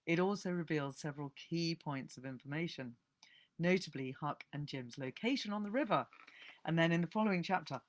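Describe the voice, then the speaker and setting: A woman talks informally about books to a listening audience. She is chatty and unhurried in feel, often pausing on "um" and correcting herself mid-phrase as she goes. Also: a bass voice. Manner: chatty, with frequent ums and self-corrections. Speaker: speaker giving a talk on books. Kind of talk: informal literary talk to an audience.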